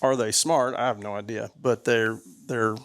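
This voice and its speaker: A man's voice: on the low side, fairly even intonation, moderate pace, fairly bright tone. A man on a casual panel, rambling in an easygoing way with frequent restarts. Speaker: panel guest.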